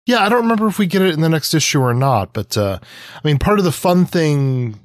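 A man's voice: on the high side, animated, fairly quick, dry recording, studio quality. A man co-hosting a podcast in casual analytical discussion, thinking aloud and rephrasing as he goes. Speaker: podcast co-host.

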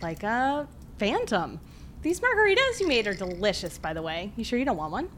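A woman's voice: medium pitch, sing-song, a little fast, fairly bright tone, a little hiss or hum. A woman playing a breezy, chatty friend with morbid relish in an audio drama. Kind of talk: naturalistic audio drama acting.

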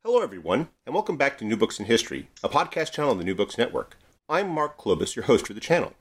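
A man hosting a podcast interview, putting his questions in a measured, deliberate way with some stumbles. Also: medium pitch, big pitch swings, husky. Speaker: podcast host.

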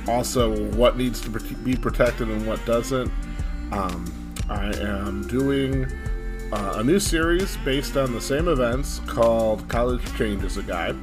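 Guy doing casual podcast host chat, halting, lots of ums, guitar music behind him. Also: medium pitch. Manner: halting, hesitant with frequent ums. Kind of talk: casual talk to listeners.

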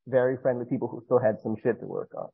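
American man, very quick pace, husky voice, casual rambling interviewer, informal, dull lo-fi recording. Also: medium pitch, fairly even intonation. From Northeastern United States. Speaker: interviewer, host. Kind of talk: casual chat.

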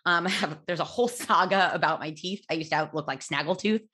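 A woman in her thirties, a podcast host, telling her own story in a candid, chatty way, loud-voiced, with fillers and restarts. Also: lowish voice, quick pace, slightly rough. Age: 30s. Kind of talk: personal storytelling monologue.

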